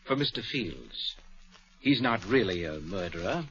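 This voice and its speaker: An actor playing a nervous valet who is secretly a thief, stammering and defensive, in 1940s radio acting. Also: male voice, sits low, sing-song, a little slow, a bit bright, full character voice. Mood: flustered. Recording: dull old radio broadcast.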